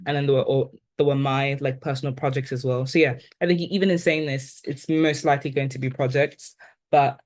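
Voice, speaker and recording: A woman in her twenties from Ghana, with an accent that blends Ghanaian and British, reflecting thoughtfully as an interview guest and often pausing on "like" and "um". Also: rumbling low, fairly even intonation, a little mellow. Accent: blend of Ghanaian and British, now neutralized. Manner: thoughtful, with many "like" and "um" fillers.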